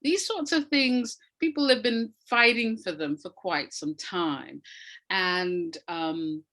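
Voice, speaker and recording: A woman's voice: on the low side, slow, a bit bright. A woman giving reflective, conversational explanations as an interview guest, thoughtfully searching for her words. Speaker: academic and audio dramatist, interview guest.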